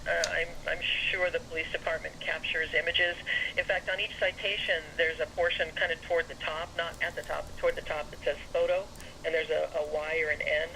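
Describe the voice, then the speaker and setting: A man's voice: on the high side, level tone, a little fast, crowd noise. A man working as an administrative clerk, carefully explaining procedure on a telephone call, correcting his terms as he goes. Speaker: parking citation administration clerk. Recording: bright telephone call with faint noise.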